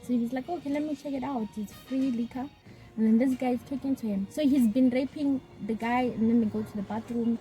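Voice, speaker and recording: A soft-spoken woman from South Africa chats casually, often restarting her sentences. Her voice is slightly high and mellow. Faint music plays behind her. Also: steady tone, fast talker, rough recording. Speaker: author guest. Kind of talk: casual chat.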